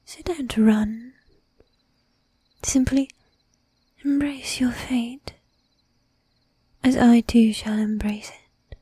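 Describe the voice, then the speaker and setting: Woman playing a breathy, slow-whispering seductive vampire lady. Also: a little high, fairly even intonation, dark tone, dry recording, full character voice. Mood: coaxing, menacing. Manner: slow, teasing, commanding, with archaic polite phrasing. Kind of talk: whispered ASMR roleplay.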